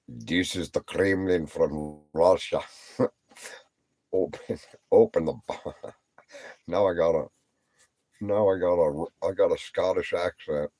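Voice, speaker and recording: A man's voice: sits low, slow, long pauses, a little mellow, no echo. A tired man rambling and swearing on a late-night live stream, lying down as he talks and repeating himself. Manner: talking while lying down.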